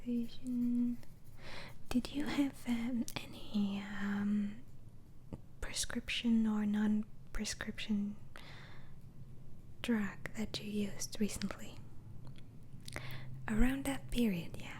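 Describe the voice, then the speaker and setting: A woman whispering in an ASMR roleplay as a doctor interviewing a patient, hesitantly asking questions and repeating answers back in a breathy voice. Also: on the high side, fairly even intonation, slow, pauses often, a little mellow, slight background noise. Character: gentle, inquisitive female mental asylum doctor.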